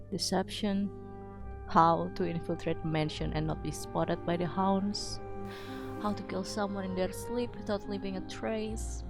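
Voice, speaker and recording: A woman's voice: medium pitch, vocal fry. A woman reading a story aloud as a narrator, in a dramatic storybook style. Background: music.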